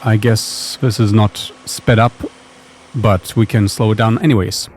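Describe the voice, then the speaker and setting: A man's voice: on the low side, fairly even intonation, measured pace. A man giving a casual screencast tutorial as a developer, informal and understated, thinking aloud. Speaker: software developer presenting own tool. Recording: noticeable background noise.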